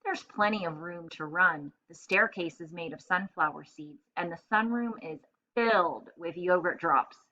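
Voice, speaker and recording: A woman's voice: fairly low pitch, normal speed, bright, forceful tone, highs cut off. A woman voicing a sly female cat in a children's story, disdainful and coaxing.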